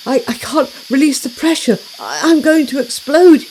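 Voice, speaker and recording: A woman's voice: sits high, sing-song, normal speed, darkish tone, slight background noise, pitched above the performer's own voice, poor audio. A woman voicing an anxious, eager male garden hosepipe in a children's storybook, with an earnest, stammering manner. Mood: worried, flustered.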